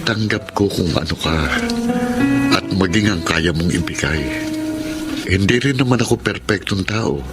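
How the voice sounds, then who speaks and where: An actor playing an earnest, gentle man who pleads sincerely with the woman he loves in a radio drama. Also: sits low, normal speed, long pauses, loud music behind the voice, natural voice.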